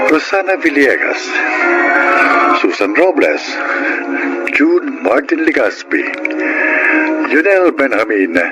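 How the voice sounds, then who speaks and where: A man hosts a radio drama with scripted, theatrical narration. He speaks slowly in a mid-range voice with lively inflection. Loud music plays behind him. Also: medium pitch, poor audio. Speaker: radio drama host and announcer.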